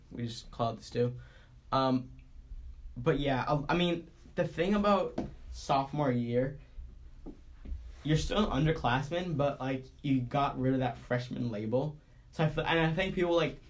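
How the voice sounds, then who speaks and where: A man from the Boston area hosts a relaxed podcast chat while congested from a cold. He talks in halting bursts, restarting sentences and leaning on fillers. His pitch sits in a plain middle range. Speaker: podcast co-host. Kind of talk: casual chat.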